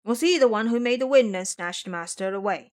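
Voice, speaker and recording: A woman's voice: medium pitch, fairly quick, darkish tone, close to an everyday voice. A woman voicing a simple, agreeable male boar disciple in an audio drama, eager and deferential. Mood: curious.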